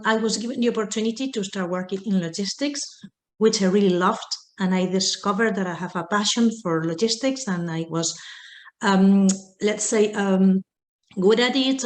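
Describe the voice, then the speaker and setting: A woman talks earnestly on a video call and keeps putting in "okay" between phrases. Her voice sits at an ordinary pitch. The room around her sounds echoey. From Spain. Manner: frequent "okay" fillers, earnest. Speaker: panelist, logistics manager. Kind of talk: online round table answer. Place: home or office on a video call. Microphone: video call microphone.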